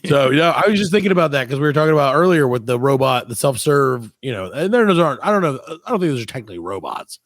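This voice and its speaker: A man's voice: medium pitch, sing-song, quick pace, hardly any pauses, no room sound, studio quality. A man hosting a comedy podcast in casual, playfully argumentative banter. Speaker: podcast host.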